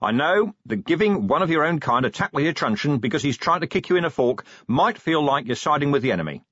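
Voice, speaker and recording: A man's voice: on the high side, big pitch swings, quick pace, a bit bright, no echo, highs cut off, pitched above the performer's own voice. A man playing a weary, sardonic police commander in an audiobook, plain-spoken and commanding. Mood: rousing, firm.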